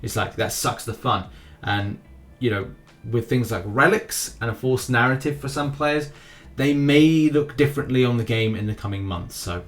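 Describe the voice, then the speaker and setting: A man's voice: medium pitch, moderate pace. Man hosting gaming news over music. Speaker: gaming news video host. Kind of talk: casual commentary on mobile game news. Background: background music.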